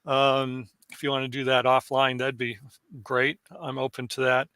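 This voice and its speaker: A man's voice: mid-range voice, completely flat delivery, moderate pace, bright, forceful tone, clear, resonant tone, no echo. A man giving a thoughtful, musing comment to the speaker in an online meeting. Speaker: audience member commenting.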